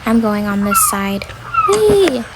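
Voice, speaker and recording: An excitable young kid, put on by a woman in a homemade beach role play. The voice is high and prone to whining and exclaiming. There is clear noise on the recording. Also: takes it slowly. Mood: excited, playful. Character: whiny, excitable young child on family beach day. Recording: noisy home recording with clicks.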